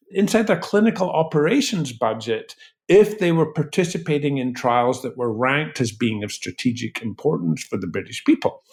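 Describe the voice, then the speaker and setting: A man talks as a medical researcher being interviewed. His delivery is articulate and explanatory, with a mid-pitched voice, setting out points step by step.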